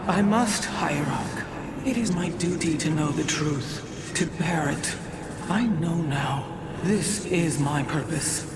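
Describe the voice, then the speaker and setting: A woman playing a haunted, dutiful alien priestess seer in a game cutscene, speaking gravely and formally, with portentous weight. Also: deep voice, takes it slowly, very noisy. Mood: strained resolve. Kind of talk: dramatic game cutscene acting. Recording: loud music behind the voice.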